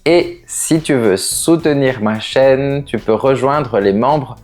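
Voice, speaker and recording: A man teaching French, explaining each point slowly and clearly with pronounced emphasis on key words. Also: medium pitch, soft background music. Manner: carefully enunciated, explanatory, with lively rising emphasis on key words. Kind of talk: slow, clearly articulated teaching.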